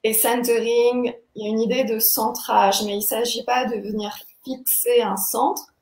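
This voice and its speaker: A woman's voice: on the high side, flat delivery, a little mellow. Thoughtful young woman in an echoey room. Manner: thoughtful, halting, searching for words. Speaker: body-movement teacher, interview guest. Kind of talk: explanatory interview talk. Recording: echoey, roomy sound.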